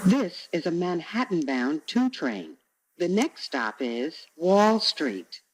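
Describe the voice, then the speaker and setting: A woman reading scripted transit announcements as a train announcer, in measured, separate phrases. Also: lowish voice, very animated, no room sound, poor audio. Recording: recorded train announcement with clear noise.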